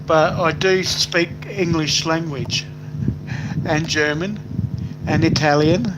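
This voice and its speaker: A man's voice: a little high, fairly even intonation, slow pace. An older Australian man chatting loosely on his live stream, rambling with many fillers. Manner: rambling, lots of fillers, stop-start phrasing. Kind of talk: casual live stream chat, reading comments aloud. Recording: noisy home recording.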